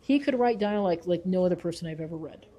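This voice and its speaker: Woman researcher with a mellow, earnest delivery. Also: lowish voice, expressive, fast. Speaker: researcher and author being interviewed. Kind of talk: thoughtful interview talk.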